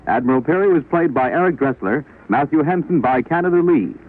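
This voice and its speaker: A man reading scripted announcements in a crisp, formal 1940s radio style on a tinny vintage recording. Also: a little high, lively intonation, a little fast.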